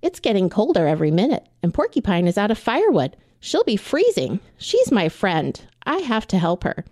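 A woman's voice: medium pitch, wildly swooping pitch, normal speed, darkish tone, dry recording, clean studio sound. A woman voicing a possessive but loyal female badger in a children's storybook, with an emphatic, declaring manner. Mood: worried.

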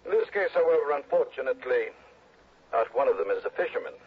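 A man's voice: mid-range voice, animated, husky. A man playing a formal, fussy insurance executive through a telephone filter in 1960s radio drama style. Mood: formal, polite. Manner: polite, precise, deliberate. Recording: tinny telephone-filtered old radio recording.

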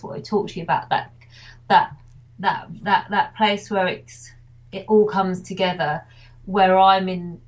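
A woman's voice: mid-range voice, low-quality recording. A woman from England, a wedding photographer, talking casually in an interview and rambling with many restarts.

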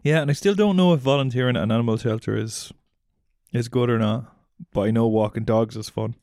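An Irish podcast co-host chats casually with a mid-pitched voice in a dry recording. The talk is loose banter, full of teasing and swearing. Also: brisk, a little mellow, dry recording.

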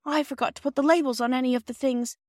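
A woman's voice: high voice, steady tone, fast, no echo, clean studio sound, voice pushed up, close to an everyday voice. A woman voicing an anxious young girl in a storybook reading.

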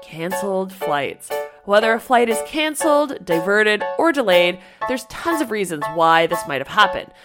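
A woman co-hosting a podcast in casual chat, a little stuffy and raspy from a cold, talking freely and restarting her sentences. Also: mid-range voice, big pitch swings, normal speed, over music. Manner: chatty, laughs often, restarts sentences. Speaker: podcast co-host.

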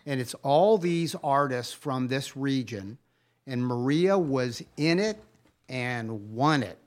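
A man's voice: mid-range voice, lively intonation, takes it slowly. A man co-hosting a podcast, telling stories in a casual, rambling, conversational way. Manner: rambling, chatty storytelling. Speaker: podcast co-host. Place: podcast recorded live before a camera.

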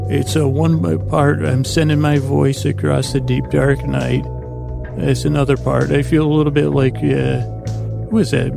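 A man hosting a sleep podcast, talking in a gentle, meandering, halting way that keeps interrupting itself, close on the microphone. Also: mid-range voice. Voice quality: creaky, gravelly. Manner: meandering, halting, self-interrupting with false starts. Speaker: podcast host. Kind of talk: rambling bedtime monologue to help listeners sleep.

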